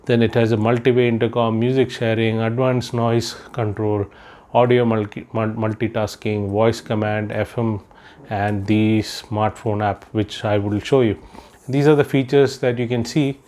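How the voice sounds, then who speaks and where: A man's voice: lowish voice, little pitch movement, moderate pace, a little mellow. A man doing a casual unboxing vlog indoors, thinking aloud with lots of fillers as he handles the product. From India. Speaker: unboxing vlogger. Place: indoors, room with patterned floor rug.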